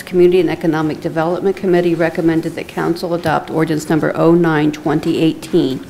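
A woman clerk reads council minutes in a formal, matter-of-fact tone. Her voice is low, with a gravelly, mellow edge. A faint room hum runs beneath. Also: poor audio. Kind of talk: reading ordinances and minutes. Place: council chamber.

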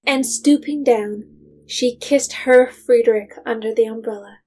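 A woman's voice: a little high, unhurried, darkish tone, husky. A woman narrating a period story from a script, as in an audio drama.

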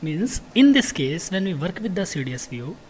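A software trainer giving an informal technical lecture, explaining in a halting, repetitive way with frequent check words. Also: mid-range voice, sing-song, slight background noise.

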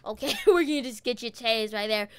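A speaker giving excited, slangy gameplay commentary and urgent police roleplay into a close microphone. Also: mid-range voice, brisk, clean tone, no echo. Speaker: gaming video host playing a police officer.

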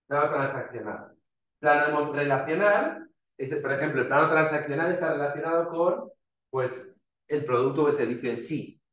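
A man's voice: a little high, moderate pace, a bit bright. A male university lecturer, explaining slides informally with everyday examples and frequent restarts. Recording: dull, roomy lecture recording.

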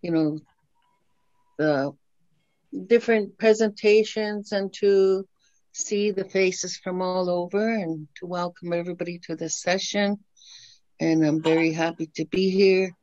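An older woman whose first language is Dakota, an elder opening a gathering with a slow, gentle blessing and teaching, soft-spoken with many pauses, speaking from home on a webcam. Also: on the low side, fairly even intonation, no echo. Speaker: elder opening a meeting with prayer. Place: home room, indoors. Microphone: laptop webcam on video call. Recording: dull, dry video-call audio.

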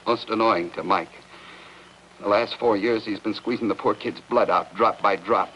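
A protective, bitter American husband played by a male actor, talking low in curt, emphatic phrases. It is 1950s TV drama with a slightly noisy soundtrack. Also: steady tone, moderate pace, a bit bright, natural voice. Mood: bitter, indignant.

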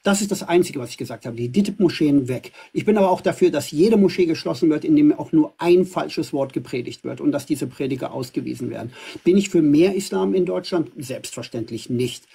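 Husky, dark-voiced man debating. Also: fairly high pitch, lively intonation, fairly quick. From Iran. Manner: assertive, argumentative, with frequent fillers. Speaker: livestream host. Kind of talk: live debate. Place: indoors before a green screen.

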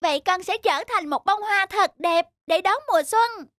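A woman's voice: very high voice, moderate pace, a bit bright, no echo, clean studio sound, soft background music. A woman voicing an innocent, kind little flower child in a children's cartoon, earnest and childlike. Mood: curious.

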